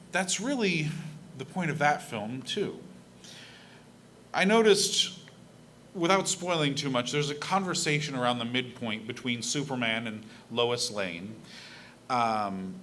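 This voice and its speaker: A man's voice: mid-range voice, expressive, a little slow, fairly bright tone, a little hiss or hum. A young man giving an earnest, conversational reflection at a church service, speaking into a pulpit microphone. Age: young adult. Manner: earnest, conversational, with fillers and a self-deprecating laugh. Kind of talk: personal reflection talk from a pulpit. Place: church sanctuary.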